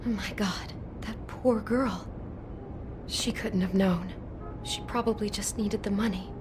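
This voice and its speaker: An actress playing a shaken, compassionate young woman in a video game, speaking in an anxious, halting, emotional way. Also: mid-range voice, fairly even intonation, noisy background, close to an everyday voice. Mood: sorrowful. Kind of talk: naturalistic video game voice acting.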